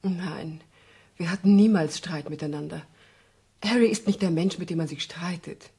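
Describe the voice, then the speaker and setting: Anxious young female client, radio mystery. Lowish, husky, earnest. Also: normal speed, mellow. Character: nervous, earnest young woman seeking detective's help. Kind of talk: radio crime drama acting.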